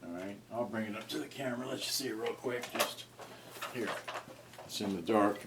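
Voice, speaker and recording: Low-voiced man rambling, far from the mic. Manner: halting, rambling, often trailing off. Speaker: amateur gadget reviewer. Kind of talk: casual unscripted unboxing and review. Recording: distant mic with clear noise and clicks.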